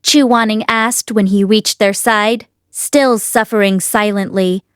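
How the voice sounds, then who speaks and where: A woman reading a fantasy novel aloud as an expressive audiobook narrator. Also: mid-range voice, unhurried, clear, resonant tone, no room sound, studio quality. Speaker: audiobook reader.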